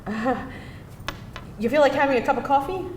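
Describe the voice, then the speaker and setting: A woman's voice: high voice, moderate pace, darkish tone, natural voice. A woman playing a vulnerable, anxious granddaughter with an unsure, hesitant delivery in a live screenplay reading. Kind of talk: live screenplay table read. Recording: roomy live hall, faint noise.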